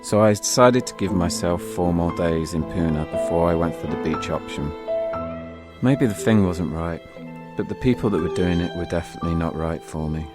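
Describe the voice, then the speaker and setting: A man's voice: deep voice, moderate pace. A British man telling a scripted first-person story as an audio drama narrator, wry and confessional, with music behind him.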